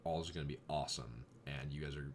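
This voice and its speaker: A young man vlogging in casual chat, rambling with exasperated asides, his voice going a bit hoarse. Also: rumbling low, fairly even intonation, fast talker, slight background noise.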